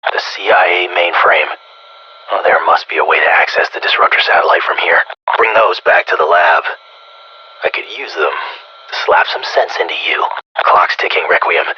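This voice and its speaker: An actor voicing a conceited, sardonic weapons scientist in a video game, with a raspy middle-aged American voice and a condescending manner, heard through a radio filter. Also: male voice, low-pitched. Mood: sarcastic scolding. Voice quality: raspy, gravelly. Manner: condescending, sarcastic, self-congratulatory. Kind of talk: video game character voice lines. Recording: dull, band-limited radio-comms sound.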